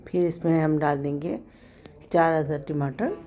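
Woman, Pakistani Urdu accent, hoarse, warm dark tone, chatty home cooking guide. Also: sits low, measured pace, slight background noise. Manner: chatty, explains step by step with small self-corrections. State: hoarse from a sore throat. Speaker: home cook host. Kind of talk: casual cooking narration.

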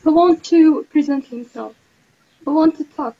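A woman leads an online class, her voice high and bright above a muffled, lo-fi call line. She explains things step by step and encourages the learners. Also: unhurried. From Morocco. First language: Arabic. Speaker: teacher. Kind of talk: guiding an online language class. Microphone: video call. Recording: dull lo-fi call audio.

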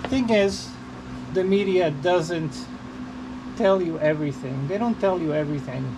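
A man from Portugal, chatting casually in a halting, rambling, unscripted vlog style while he works. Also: a little high. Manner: halting, rambling, repeats himself. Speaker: vlogger. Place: working on a car during an oil change. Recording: noisy, with vehicle and ambient hum.

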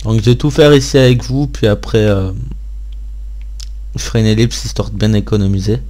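A man's voice: lowish voice, fairly even intonation, fairly quick, dark tone. A man hosting a gaming video, giving casual gameplay commentary and thinking aloud in an informal way.

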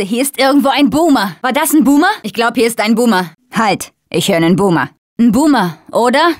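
A woman's voice: fairly high pitch, no echo, studio quality. An actress playing a tough, sarcastic young woman surviving a zombie apocalypse in a dubbed video game. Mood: wary. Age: young adult.

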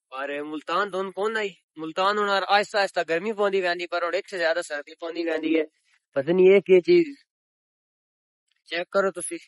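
A man's voice: high-pitched, a bit bright, no room sound. A young man in his twenties with a Punjabi accent, chatting to his viewers in a casual village vlog, filmed on a phone held at arm's length outdoors on a windy day. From Pakistan. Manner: chatty, addresses viewers as public. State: recovering sore throat. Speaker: village vlogger. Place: open countryside outdoors on a cloudy, windy day.

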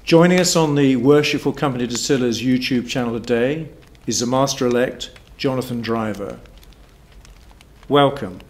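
A man's voice: mid-range voice, moderate pace. A middle-aged man putting formal, prepared questions as an interviewer. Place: wood-panelled room, indoors.

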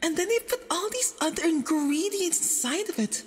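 A woman playing a nervous, clingy, obsessive young femboy boyfriend on a date in an ASMR roleplay, halting and doting, with doubled, reverberant audio. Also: extremely high pitch, lively intonation. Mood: nervous, chatty. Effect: doubled audio with added reverb. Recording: long reverb, doubled voice track.

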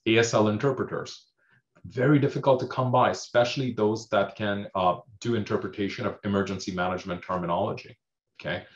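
A man's voice: fairly low pitch, steady tone, a little fast. A man whose first language is Hindi, speaking as an emergency management panelist on a video call, explaining with many fillers and checking "okay?". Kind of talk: panel discussion. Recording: roomy, dull video call audio.

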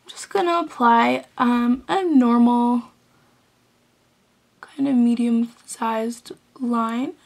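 A young woman with a high, gravelly voice that is hoarse from illness explains a makeup look step by step. She talks in a chatty, instructive way and sprinkles in "um"s. She sounds close to a camera mic in a small bedroom. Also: fairly even intonation, slow pace, pauses often, darkish tone. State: hoarse from illness, losing her voice. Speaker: makeup tutorial host. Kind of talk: step-by-step beauty tutorial.

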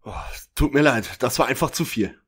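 A man's voice: medium pitch, moderate pace, a bit bright, slightly rough. A man playing a bookish young detective, the junior of a trio, in a parody radio play. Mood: earnest.

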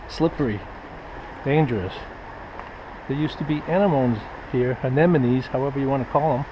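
A man's voice: medium pitch, highs cut off. A man filming himself as he explores a rocky sea cave, talking casually to himself. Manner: muttering remarks to himself, plain and unhurried. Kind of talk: casual spoken commentary while filming. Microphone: head-mounted action camera. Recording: outdoor camera audio with clear background noise.

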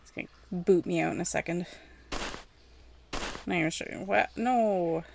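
A woman's voice: fairly low pitch, unhurried, gravelly, background noise. A woman giving casual, rambling gameplay commentary, chatty and self-deprecating, talking to the game's characters as she plays. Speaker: gaming video host.

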